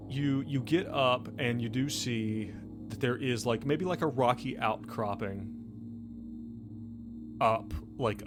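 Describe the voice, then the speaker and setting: A man running a tabletop roleplaying game, improvising scene narration in a conversational, halting way with frequent rephrasing. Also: mid-range voice. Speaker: game master. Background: background music.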